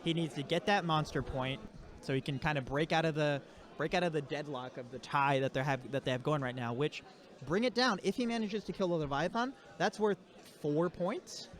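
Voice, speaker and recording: A soft-spoken man commentating a tabletop game, sitting too far from his microphone. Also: a little high, a little fast. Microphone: too far from his mic.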